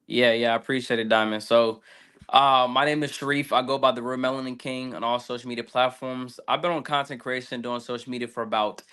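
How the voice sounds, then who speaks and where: A man's voice: medium pitch, fairly even intonation, a little fast, pushed and bright, clean tone. A 23-year-old man, a guest social media creator, telling his story in a rambling, casual interview style. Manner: rambling, fillers like "like".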